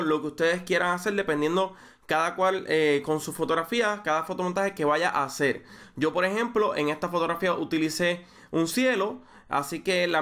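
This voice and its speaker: A young man with a Puerto Rican accent explaining a photo-editing tutorial, measured and full of small fillers and restarts. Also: sits high, fairly bright tone. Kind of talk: screen-recorded software tutorial.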